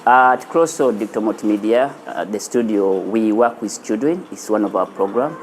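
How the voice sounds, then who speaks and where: Low-voiced Ugandan man in a reverberant room. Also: normal speed. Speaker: animation studio founder being profiled. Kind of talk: interview and studio tour for a news feature. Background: music.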